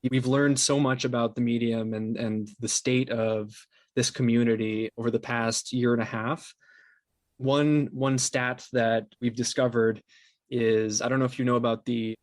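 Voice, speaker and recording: Male interview guest, medium pitch, explains conversationally with trailing pauses and repetitions; dry sound. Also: no echo. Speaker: interview guest, podcast co-founder and sound designer.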